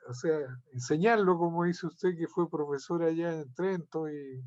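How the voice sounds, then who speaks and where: A man's voice: on the high side, moderate pace, darkish tone. A man organizing a talk series, giving informal closing thanks on a video call. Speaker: event organizer. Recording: muffled video call audio.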